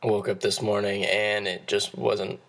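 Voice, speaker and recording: A hoarse young man, losing his voice, giving a class presentation and explaining steps in a halting way with frequent restarts. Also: fairly low pitch, little pitch movement, fairly bright tone. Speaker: student presenter.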